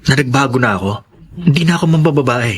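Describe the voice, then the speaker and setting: A man's voice: mid-range voice, expressive, fast, breathy edge. A man acting a repentant womanizing husband in a Tagalog radio drama, earnest and pleading. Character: repentant womanizing husband promising he has changed.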